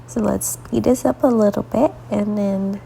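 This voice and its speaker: A woman hosts a casual coloring tutorial, her congested voice heavy with gravelly fry and a dark, mellow tone. She rambles softly, drifting into half-whispered asides. She sounds sleepy, talking late into the night. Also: medium pitch, fairly even intonation, moderate pace, a little hiss or hum. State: congested, stuffy nose. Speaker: artist hosting a coloring tutorial.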